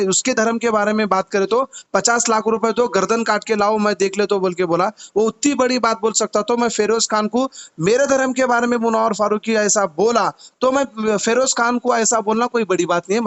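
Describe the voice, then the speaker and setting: A man's voice: high voice, normal speed, fairly bright tone. A man with a Hyderabadi accent, a party activist arguing his case insistently and repeating himself in a phone interview.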